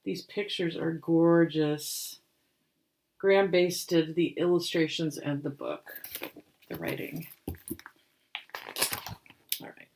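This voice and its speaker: Expressive woman reading a storybook aloud. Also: sits low, slow, pauses often, low-quality recording. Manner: expressive, theatrical delivery. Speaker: librarian and storyteller, host. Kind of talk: dramatic reading of a rhyming picture book with casual asides.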